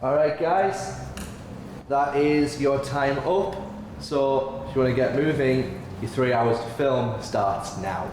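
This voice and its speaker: A man's voice: mid-range voice, slow, noisy background. A man presenting a show, chatting casually and encouraging participants. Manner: friendly, encouraging. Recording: echoey room with music. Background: music.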